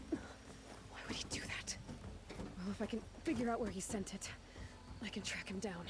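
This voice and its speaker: A woman's voice: medium pitch, noisy background, natural voice. An actress playing a determined, self-reliant young woman adventurer in a video game, muttering terse asides to herself.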